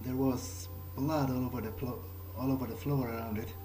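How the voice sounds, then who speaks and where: A man speaking in character through a game playthrough, halting and often repeating himself. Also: mid-range voice, fairly even intonation. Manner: hesitant, halting, repeats words. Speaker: role-playing gamer. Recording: loud music behind.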